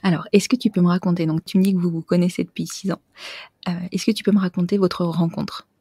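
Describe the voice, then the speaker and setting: A woman's voice: lowish voice, fairly even intonation, quick pace, warm tone, slightly rough, dry recording. Chatty woman podcast host, dry sound. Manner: chatty, laughs easily. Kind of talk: conversational interview.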